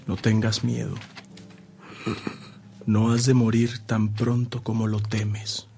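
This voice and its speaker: An actor playing a devoted, reassuring brother in a radio drama, measured and consoling, with a Mexican accent. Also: male voice, on the low side, completely flat delivery, gravelly, dark tone.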